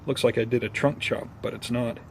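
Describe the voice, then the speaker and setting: A man chats casually to viewers as the host of an outdoor gardening vlog. His voice sits at a medium pitch, and he talks in an unhurried, slightly halting, informal way. Faint ambient and vehicle noise runs behind him. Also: fairly even intonation, creaky.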